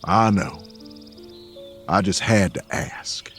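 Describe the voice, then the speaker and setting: Folksy, gentle man, low and unhurried. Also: faint music behind. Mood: tender. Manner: soft, slow and folksy, calls people darling. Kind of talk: audio drama acting.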